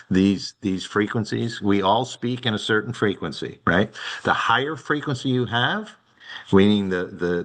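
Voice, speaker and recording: A man with a very deep voice, a network representative presenting to a city commission, halting and full of fillers and restarts. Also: fairly bright tone. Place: public meeting room.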